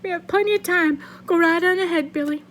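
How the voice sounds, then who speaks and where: A woman reading a storybook aloud plays a serious, gentle country father. He speaks slowly and thoughtfully, in a plain homespun manner. The voice stays fairly high despite the role. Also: a little hiss or hum. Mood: kindly.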